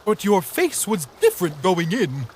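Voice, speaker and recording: A man's voice: high voice, wildly swooping pitch, normal speed, a little mellow, slight background noise. A man voicing a cryptic hooded ferryman in a fan comic dub, speaking in dreamy sing-song riddles. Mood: mysterious.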